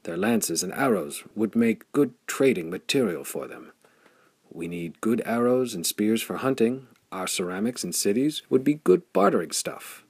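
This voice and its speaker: A man voicing a thoughtful, stubborn wandering hero in an audiobook, with an earnest, measured manner. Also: fairly low pitch, wildly swooping pitch, creaky, a little mellow, breathy edge, dry recording, pitched above the performer's own voice, full character voice.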